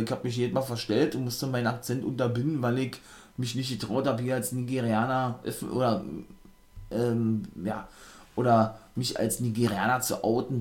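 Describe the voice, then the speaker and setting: A man's voice: mid-range voice, a little mellow. A man, talking through a weekly wrestling review in a loose, rambling way, with lots of fillers and restarts. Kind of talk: casual spoken weekly review.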